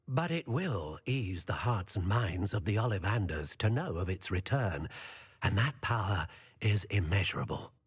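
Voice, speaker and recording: Game role: elderly kindly wand-maker, very husky and breathy, lilting and theatrical, gentle, wistful. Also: male voice, sits low, big pitch swings, moderate pace, heavily acted. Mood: earnest, wistful. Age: older. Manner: theatrical, savouring his phrases. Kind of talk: video game character acting.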